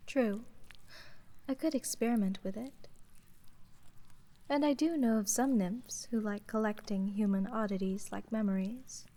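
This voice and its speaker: Aloof sea witch for fantasy audio roleplay, played by a woman: very slow, soft dark-toned voice, businesslike and deadpan. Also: medium pitch, natural voice. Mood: calm, businesslike. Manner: monotone, measured, coolly formal. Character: aloof, businesslike female sea witch, dry-witted deal maker. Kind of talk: slow roleplay ASMR, scripted fantasy monologue.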